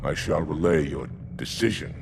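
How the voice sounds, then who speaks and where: A man's voice: rumbling low, moderate pace, creaky, noisy background. A man playing a proud, stern alien warrior commander in a video game, growly and formal in speech. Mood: restrained, formal. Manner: formal, clipped.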